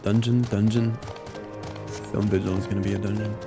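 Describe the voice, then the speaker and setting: A man's voice: lowish voice, little pitch movement, moderate pace. Man chatting casually over game music. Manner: chatty, easygoing, reacts aloud to the game and chat. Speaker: game streamer. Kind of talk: casual livestream chat while playing. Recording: music behind the voice.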